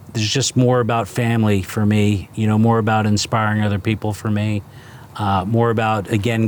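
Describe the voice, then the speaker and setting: A man in his fifties from New Jersey, a restaurant founder talking casually in a fireside interview, halting with fillers and restarts. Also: lowish voice, a little hiss or hum. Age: 50s. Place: outdoors by a fire pit.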